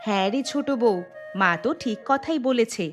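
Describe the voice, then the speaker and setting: A woman's voice: fairly high pitch, normal speed, lightly acted. A woman voicing a scheming, jealous young daughter-in-law in a cartoon story, with a theatrical, gloating delivery. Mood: teasing. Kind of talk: Bengali cartoon storytelling voice acting. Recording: background music under voice.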